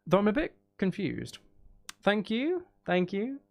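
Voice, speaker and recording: Creaky-voiced man streaming a game. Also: high voice, huge pitch swings, unhurried, a little mellow. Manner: talks to himself, muttering and exclaiming. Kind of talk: casual livestream gameplay commentary.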